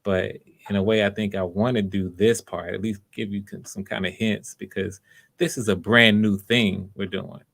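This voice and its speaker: A man's voice: fairly low pitch, fairly even intonation, normal speed, gravelly, fairly bright tone. A man hosting online auditions in a casual, conversational way, with an enthusiastic and encouraging manner. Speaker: host of online voice auditions, casting for a project.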